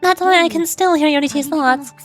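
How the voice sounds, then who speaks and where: A woman's voice: high-pitched, fairly quick, faint music behind, lightly acted. A woman voicing a youthful-sounding, long-lived female shaman from a game, proud and self-assured but easily flustered. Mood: confident.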